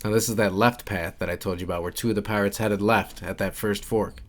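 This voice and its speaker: A man's voice: lowish voice, steady tone, brisk. A man giving casual, instructional walkthrough commentary for a video game, informal and repeating his key points. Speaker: game guide creator and narrator.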